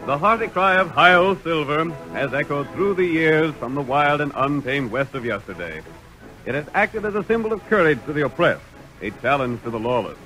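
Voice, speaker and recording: Old time radio announcer guy, american, dramatic cowboy show narration, slightly high voice, vintage recording. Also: lively intonation, moderate pace, bright, forceful tone, soft background music, poor audio. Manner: dramatic, stately. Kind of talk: 1930s-40s radio western narration.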